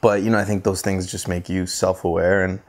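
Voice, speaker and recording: A man, giving reflective interview answers in a halting, thoughtful way. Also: low-pitched, flat delivery. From Costa Rica, later United States. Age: 20s. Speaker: interview guest, photographer and visual artist. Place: living room, indoors on a sofa. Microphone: clip-on lapel mic.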